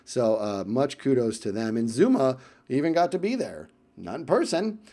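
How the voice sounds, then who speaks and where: A man casually telling a personal story in vlog style, animated and emphatic, often repeating phrases for effect. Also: medium pitch, normal speed.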